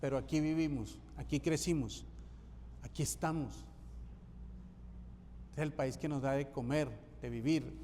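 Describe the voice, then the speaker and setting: A man's voice: a little high, steady tone, a little slow, long pauses, warm tone, in a large hall, a little hiss or hum. A man from Colombia, preaching a sermon as pastor, emphatic and repeating key phrases for rhythm. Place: church sanctuary.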